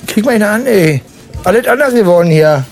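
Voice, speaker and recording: A man's voice: high voice, expressive, fairly quick, faint music behind. A man playing an easygoing, evasive friend in a naturalistic radio play, speaking in hesitant, rambling everyday language. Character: evasive, easygoing male friend, mildly sympathetic to workers.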